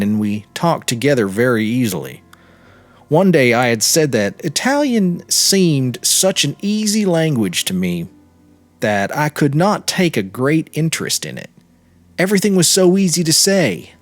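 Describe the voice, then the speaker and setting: A man narrating a literary short story in a measured, expressive storytelling manner. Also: medium pitch, dry recording. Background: music.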